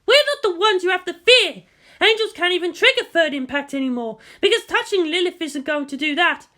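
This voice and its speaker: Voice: very high-pitched, lively intonation, brisk, pushed and bright, voice pushed up, full character voice. A narrator voicing a fierce, defiant female military commander who swears and snaps in anger. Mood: furious, indignant.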